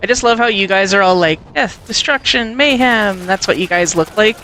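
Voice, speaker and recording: A woman with a bright, forward voice chats on a game livestream. She teases her friends in a casual, joking way, with faint ambience behind her. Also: mid-range voice, fast talker, slight background noise, low-quality recording. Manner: joking, teasing. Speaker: livestream gamer and host. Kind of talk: casual gaming chat.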